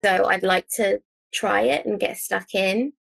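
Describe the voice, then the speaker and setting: A woman's voice: mid-range voice, fairly even intonation, unhurried, creaky, a bit bright, breathy edge, no room sound. A woman hosting an art podcast, asking questions and encouraging her guest with short agreeing sounds. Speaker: podcast host. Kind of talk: casual podcast chat.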